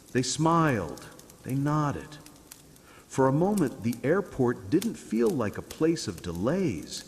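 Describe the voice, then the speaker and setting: A man narrating a scripted bedtime story in a calm, soothing, dramatic way. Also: medium pitch, in a large hall, slight background noise.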